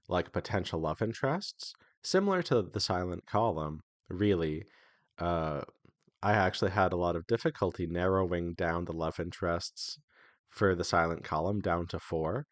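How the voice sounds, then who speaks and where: Man, deep voice, dry close sound, casual devlog host, conversational, halting phrasing. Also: no room sound. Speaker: indie visual novel developer and devlog host.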